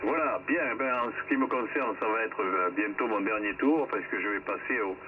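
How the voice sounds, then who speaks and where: A man talks casually over amateur radio in a lowish voice. His delivery is conversational, with some hesitation. The sound is narrow and phone-like, with clear hiss and static around it. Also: moderate pace. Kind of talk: casual ham radio contact.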